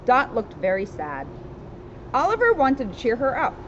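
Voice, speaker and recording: A woman's voice: on the high side, wildly swooping pitch, normal speed. A woman reading a children's storybook aloud with casual asides, recorded outdoors. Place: outdoors in a town street. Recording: dull outdoor recording with loud street noise.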